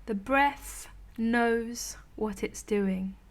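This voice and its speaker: A woman leading a guided meditation in a soothing, gentle, unhurried manner with long pauses between phrases. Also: on the high side. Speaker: meditation teacher.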